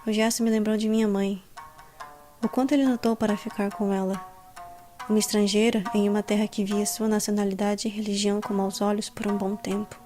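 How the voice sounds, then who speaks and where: Actress as dutiful clan heiress, Brazilian accent: mid-pitched, formal, introspective first-person narration, clear music bed. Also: female voice, little pitch movement, fairly quick, mellow, lightly acted. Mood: reflective. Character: dutiful, troubled daughter and heiress of samurai clan.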